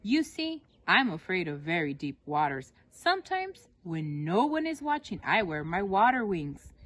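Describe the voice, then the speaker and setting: Female reader playing a helpful duck, picture book, shy, tentative, confiding, very lively intonation. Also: lowish voice, a little slow, no echo, heavily acted. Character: helpful duck shyly confessing fear of deep water. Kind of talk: read-aloud children's picture book.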